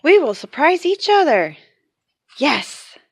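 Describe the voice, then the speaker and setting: A woman giving an expressive read-aloud of a children's picture book, acting out the characters. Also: high voice, takes it slowly, dry recording.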